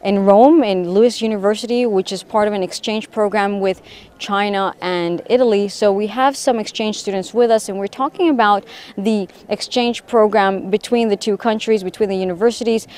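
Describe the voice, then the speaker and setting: A woman's voice: mid-range voice, a little mellow. A woman news reporter interviewing students live on location at a university, prompting them with questions and restating their answers. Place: university campus in Rome.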